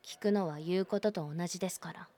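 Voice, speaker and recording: A woman's voice: fairly low pitch, quick pace, mellow, lightly acted. A woman playing a blunt, prickly teenage schoolgirl in a voice drama, who speaks curtly and sarcastically. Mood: cold. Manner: curt, sarcastic, cold. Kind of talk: voice drama acting.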